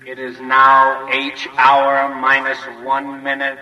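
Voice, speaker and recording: Man acting as slow monotone countdown announcer, echoing. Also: mid-range voice, dull audio, very noisy, natural voice. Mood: measured. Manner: monotone, measured. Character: solemn, impersonal male military countdown announcer. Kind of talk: vintage radio drama acting. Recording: distant, echoey, reverberant vintage radio recording.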